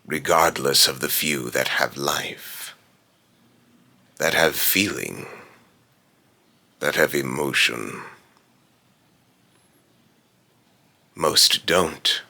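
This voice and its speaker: Man voicing a very deep, raspy, brooding spirit. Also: pushed and bright, full character voice. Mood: solemn, ominous. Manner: slow, deliberate, solemn. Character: Phobos, brooding otherworldly male spirit of fear and death. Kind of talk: voice line recording with retakes.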